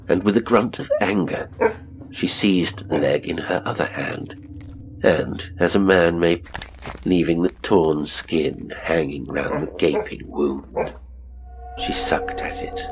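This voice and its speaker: A man narrating a classic horror story as a dramatic audiobook, grave, theatrical and suspenseful, with deliberate phrasing. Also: extremely low pitch, low-quality recording.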